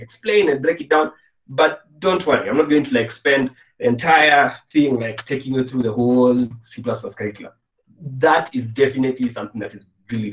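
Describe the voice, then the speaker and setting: A young man from Kenya giving a casual online programming lesson, chatty and loose, full of fillers and restarts. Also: medium pitch. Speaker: programming instructor. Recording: roomy, distant, dull webcam sound.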